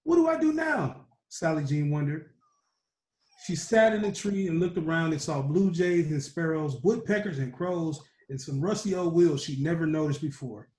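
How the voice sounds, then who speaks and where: A man reading a children's picture book aloud as a storyteller, with animated emphasis. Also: fairly high pitch, normal speed. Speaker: storytime reader. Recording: roomy echo.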